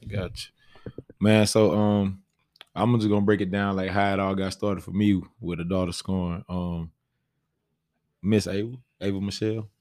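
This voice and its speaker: A man's voice: deep voice, little pitch movement, moderate pace, a little mellow. A man chatting casually as a panel guest, with a laid-back and agreeable manner. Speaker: stage play actor, panel guest. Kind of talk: casual chat.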